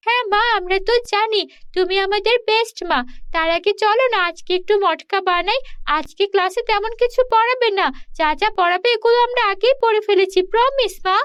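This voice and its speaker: A woman's voice: extremely high pitch, brisk, fairly bright tone, no echo, lo-fi sound, pitched above the performer's own voice. A woman voicing eager, talkative little village girls in a cartoon, coaxing and insistent. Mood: pleading.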